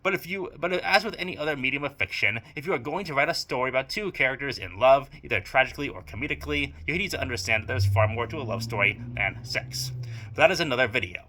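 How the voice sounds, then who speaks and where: Young guy reading his video essay, bright clear voice, formal and measured. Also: medium pitch, animated, slight background noise, low-quality recording. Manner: measured, formal, with dry emphatic repetition. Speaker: audio drama creator giving advice.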